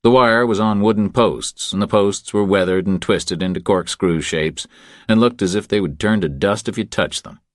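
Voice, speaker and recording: A man narrates fiction from a script. His voice sits low, and the recording is dry, with no room sound around it. He reads in the third person as an audiobook narrator. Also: moderate pace, dry recording.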